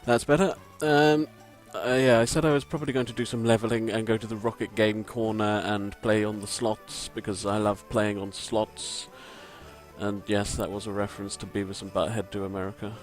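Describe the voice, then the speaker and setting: A man with a croaky voice, giving rambling, self-deprecating gameplay commentary from a home mic and swearing casually. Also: on the low side, flat delivery, normal speed, soft background music. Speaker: let's play commentator. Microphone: home desk microphone.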